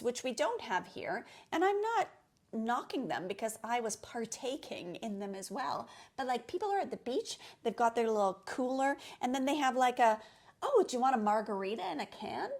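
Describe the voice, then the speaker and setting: A woman co-hosting a podcast in casual, animated chat, exclaiming and using everyday slang. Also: on the high side, moderate pace. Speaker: podcast co-host.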